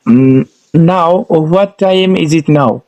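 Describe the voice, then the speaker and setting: A man from India speaks slowly on a video call, with a voice a little higher than most men's. He keeps his phrasing simple and friendly, addressing his partner as brother. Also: darkish tone. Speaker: conversation partner. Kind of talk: casual language-practice chat.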